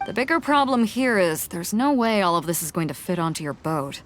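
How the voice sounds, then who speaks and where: A woman's voice: lowish voice, expressive, brisk, fairly bright tone, slight background noise. An actress playing a bold, blunt female ship captain in a video game, confident and commanding.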